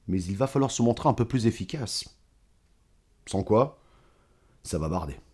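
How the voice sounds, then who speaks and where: Audio drama villain: an ageing corrupt boss, deep-pitched, falsely polite, condescending and cold. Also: male voice, animated, warm tone. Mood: cold menace. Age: older. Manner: measured, sardonic, condescending. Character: older corrupt mayor and crime boss, coldly menacing, falsely courteous.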